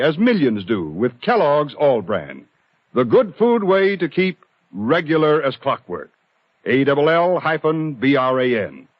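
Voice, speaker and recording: A man reads an advertisement in vintage radio style, persuasive and emphatic. His voice is fairly high and very animated. The recording is dry and slightly dull. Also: no echo. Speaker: commercial announcer. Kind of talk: 1950s radio advertising pitch.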